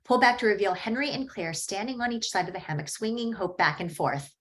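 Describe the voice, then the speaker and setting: A woman reading screenplay stage directions aloud in a plain, even way at a table read. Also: medium pitch, a little fast.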